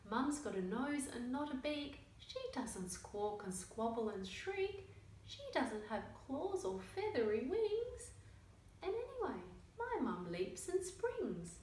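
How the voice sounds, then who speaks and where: Woman acting a frustrated little monkey, swooping pitch. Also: big pitch swings, slow, darkish tone, full character voice. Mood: exasperated. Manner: emphatic, exasperated storybook delivery. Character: upset young monkey searching for its mum. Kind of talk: children's picture book read-aloud. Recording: roomy, distant mic, faint noise.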